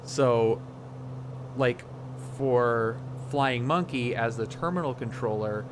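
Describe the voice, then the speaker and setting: A man with a medium-pitched voice talks in a casual, reflective livestream style. He pauses and restarts as he searches for the right words. A clear hum of noise runs behind his voice. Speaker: flight sim streamer.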